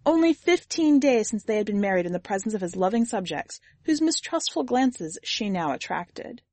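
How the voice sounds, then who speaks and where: A woman narrates literary fantasy with a measured, deliberate delivery. Her voice sits at a middle pitch. The recording is very dry, with hardly any room to it. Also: sing-song, darkish tone, no echo. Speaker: podcast host and story reader.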